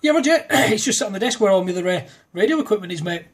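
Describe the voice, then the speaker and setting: A man's voice: high voice, expressive, very fast, noisy background. A man in casual amateur radio chat, matey and full of radio jargon and call signs. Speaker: amateur radio operator making a demo video. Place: indoors at a desk in a front room.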